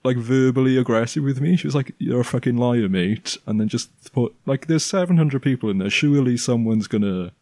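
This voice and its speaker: A man with a low, resonant, bassy voice, a podcast guest telling anecdotes in a relaxed, deadpan way. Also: brisk, few pauses, dry recording. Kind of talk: casual chat.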